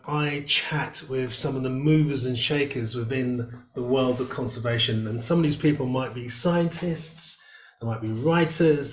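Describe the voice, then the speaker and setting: Chatty male host on a video call, rambling delivery, room echo. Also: medium pitch. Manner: chatty, rambling with many fillers. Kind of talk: casual video-call interview.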